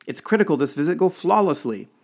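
A man's voice: fairly high pitch, very animated, quick pace, pitched above the performer's own voice. A man voicing an indignant, flustered trade commissioner in an audiobook, exclaiming and protesting.

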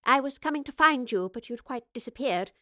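A woman's voice: high-pitched, expressive, brisk, sharp tone, dry recording, clean studio sound, pitched above the performer's own voice. Woman playing a courteous, old-fashioned lady professor. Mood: polite, apologetic. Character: polite, formal, inquisitive lady professor, a time-travelling scientist.

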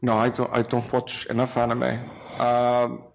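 A man's voice: medium pitch, completely flat delivery, unhurried, creaky, low-quality recording. A man whose first language is Icelandic, co-hosting a live podcast in English and chiming in with dry remarks. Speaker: podcast co-host.

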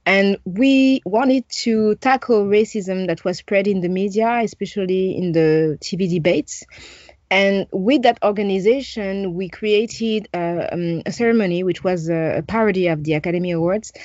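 A woman in her forties with a French accent answers interview questions, pausing and restarting often as she thinks. Her voice sits at an ordinary pitch. The recording is dry and a bit dull, with little room sound. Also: darkish tone, no echo. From France. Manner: frequent hesitations and restarts, thoughtful. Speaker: interview guest, journalist.

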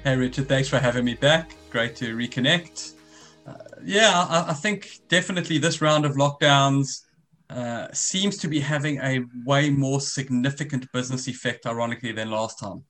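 A South African man in his forties talks on a remote call, his voice pitched in the ordinary middle range for a man. He explains in long, winding runs and often restarts his sentences. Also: normal speed, fairly bright tone, faint music behind. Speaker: guest, risk business founder. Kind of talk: conversational interview.

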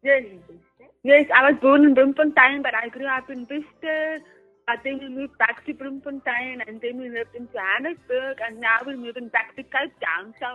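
A young South African woman whose first language is Afrikaans, a radio guest on a phone line, upbeat and quick to laugh. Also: high-pitched, fairly even intonation, faint music behind. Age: young adult. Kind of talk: phone interview.

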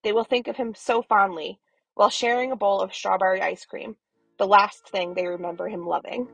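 A woman reading a poem aloud in a measured, deadpan way. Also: medium pitch, gravelly, slightly rough, no echo, soft background music, poor audio. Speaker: community poetry reader. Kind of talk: poetry reading.

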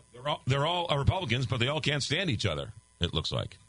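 Hoarse-voiced talk radio host man. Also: medium pitch, wildly swooping pitch, fairly quick, a bit bright. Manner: loose, conversational, reads listener texts aloud. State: losing his voice. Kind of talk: call-in talk radio with live ad reads. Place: radio studio. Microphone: studio microphone.

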